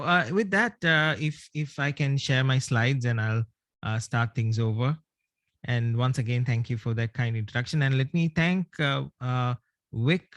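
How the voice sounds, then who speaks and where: A man's voice: medium pitch, dry recording. A man from Sri Lanka, giving an online presentation over a video call with many hesitations. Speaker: guest speaker.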